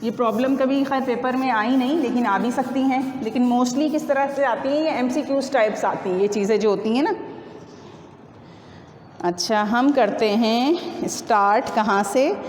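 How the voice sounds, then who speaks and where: A high-pitched woman teaches physics problems step by step. She repeats key points and answers her own questions as she goes. The room rings with echo, and there is faint noise behind her. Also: normal speed, a little mellow. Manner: instructive, repetitive, asks and answers own questions. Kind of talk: classroom lecture working through numerical problems.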